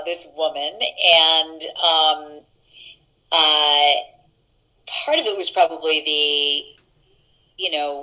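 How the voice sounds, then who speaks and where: Chatty middle-aged woman with a deeper voice. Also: bright, forceful tone, highs cut off. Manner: chatty, laughing, many fillers and restarts. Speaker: actress guest. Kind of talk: casual interview anecdotes.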